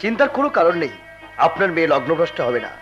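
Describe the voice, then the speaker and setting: A resolute, noble bridegroom in a folk theatre melodrama. He declaims earnestly and firmly in a high, fast voice, over faint music. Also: sing-song, fairly bright tone, lo-fi sound. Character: noble, resolute young bridegroom, stern elder brother.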